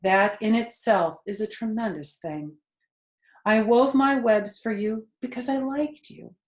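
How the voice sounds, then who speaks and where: A woman voicing a tired, wise, motherly female spider in a children's storybook, speaking calmly and gently in a measured way. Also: a little high, roomy sound, lightly acted. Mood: reflective, tender. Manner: calm, gentle, measured, matter-of-fact. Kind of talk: children's storybook character reading.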